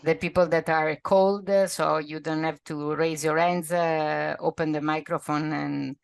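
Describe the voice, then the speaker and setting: A woman's voice: low voice, steady tone, measured pace, creaky, smooth, no room sound. A woman moderating an online workshop, inviting participants to speak in an informal way.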